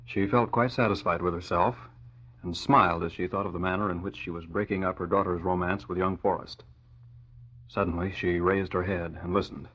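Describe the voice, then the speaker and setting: A man's voice: sits low, fairly even intonation, a little fast, a bit bright, husky. A man narrating a scripted vintage radio story in a dramatic style. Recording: muffled old radio recording.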